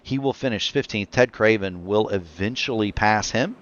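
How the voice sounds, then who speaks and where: A man calling a virtual stock car race as play-by-play commentator, quick and excitable on the action, his voice getting tired. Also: on the low side, dull audio. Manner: rapid excited play-by-play, self-correcting. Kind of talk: sim racing commentary.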